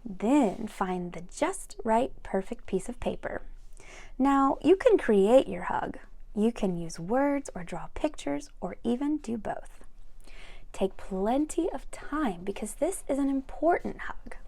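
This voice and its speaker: A woman with a slightly high voice reads a picture book aloud for young children. She is expressive and speaks to her listeners directly, adding chatty asides as she goes. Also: takes it slowly, vocal fry. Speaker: read-aloud storyteller for children.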